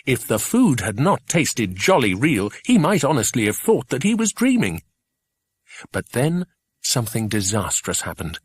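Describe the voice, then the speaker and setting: A man narrating a children's adventure audiobook in a scripted storytelling style, with a husky voice. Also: on the low side, no echo.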